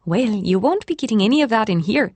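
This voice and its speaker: A woman's voice: on the high side, expressive, quick pace, darkish tone, no echo, pitched above the performer's own voice. A woman voicing a cheery, teasing young Irish barmaid with an Irish accent in an audiobook. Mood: cheery, brisk.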